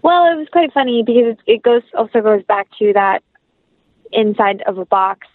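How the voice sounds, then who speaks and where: A woman guest answers interview questions in a conversational, reflective way. Her voice sits at a medium pitch, and she drifts into small illustrative stories with earnest feeling. The sound is dry, with very little room around it. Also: no echo, narrow, tinny audio. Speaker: interview guest, professional actress.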